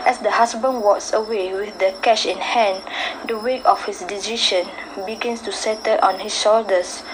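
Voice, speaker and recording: A woman's voice: medium pitch, steady tone, normal speed, lightly acted. Woman as drama narrator, noisy recording. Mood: solemn. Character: solemn storytelling narrator of a domestic drama. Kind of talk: scripted narration. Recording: noisy home-made recording.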